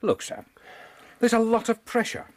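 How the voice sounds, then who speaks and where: An actor playing a harassed, weary and defensive police inspector in a radio drama. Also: male voice, very high voice, lively intonation, moderate pace, no room sound. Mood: defensive, pressured.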